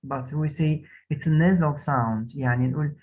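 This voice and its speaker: Patient Egyptian Arabic-accented woman tutor. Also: deep voice. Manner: patient, repeats phrases slowly for drilling. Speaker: English tutor. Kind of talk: one-on-one lesson. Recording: tinny call audio.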